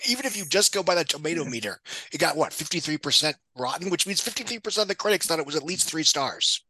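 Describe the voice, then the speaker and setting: Bright, forceful man giving blunt commentary. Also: on the high side, expressive, quick pace, no echo, rough recording. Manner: blunt, sweary, sardonic, digressive. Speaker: guest film box office pundit. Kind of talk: opinionated podcast discussion.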